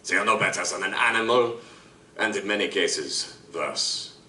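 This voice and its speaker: A man's voice: low voice, normal speed, sharp tone, a little hiss or hum. An actor playing a suave, courtly male vampire liaison who speaks in formal, elaborate phrases, recorded live on stage. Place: live stage performance at a convention. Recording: roomy live stage from a distance.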